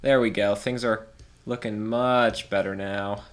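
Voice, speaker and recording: A man's voice: on the low side, fairly even intonation, a little slow. A man with a stuffed-up nose chatting casually over a city-building game as a let's play host, laughing often and thinking aloud. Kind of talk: casual gameplay commentary.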